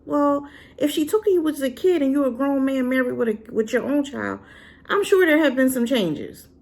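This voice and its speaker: A divorced adult woman giving a sassy, animated casual review straight to her viewers. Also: sits high, normal speed, gravelly. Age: adult, been married and divorced. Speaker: drama reviewer.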